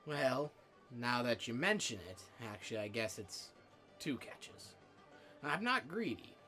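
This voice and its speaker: Man acting a bright-voiced, sly scavenger tipster. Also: mid-range voice, very animated, unhurried, faint music behind. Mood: coy, persuasive. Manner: conversational, coy storytelling. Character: sly, folksy male scavenger tipster in space western. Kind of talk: naturalistic film dialogue.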